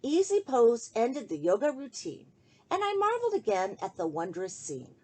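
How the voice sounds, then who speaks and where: High, lively woman reading a children's storybook. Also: normal speed. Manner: sing-song, addresses young listeners directly. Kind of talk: expressive children's storybook read-aloud in rhyme.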